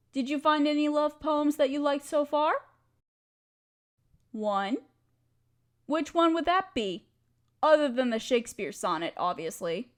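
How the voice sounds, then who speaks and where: A woman playing a playful, affectionate wife who loves poetry, theatrical and teasing, in a romantic audio roleplay. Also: high-pitched. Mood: playful, flirty.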